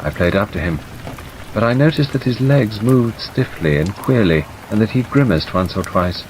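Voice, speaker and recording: Low-voiced male audiobook narrator, rain behind. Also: normal speed, poor audio. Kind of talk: scripted dramatic narration. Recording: steady rain noise over the voice. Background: rain ambience.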